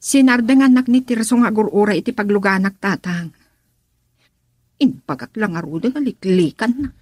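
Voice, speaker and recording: A woman's voice: medium pitch, normal speed, darkish tone, no room sound, clean studio sound, lightly acted. A woman acting a concerned daughter, earnest and confiding, in an Ilocano radio drama.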